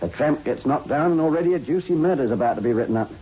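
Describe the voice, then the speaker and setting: An actor playing a teasing, jovial detective sergeant in a 1960s radio drama. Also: male voice, fairly high pitch, expressive, quick pace, mellow, natural voice. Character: bantering male London police detective sergeant. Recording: dull, noisy old radio recording.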